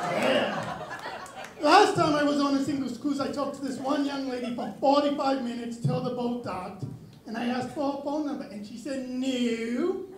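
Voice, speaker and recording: Very high, raspy-voiced young comedian. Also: male voice. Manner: self-mocking deadpan delivery. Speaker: stand-up comedian. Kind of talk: stand-up comedy set. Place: comedy club stage. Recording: echoey club with crowd. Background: audience laughter.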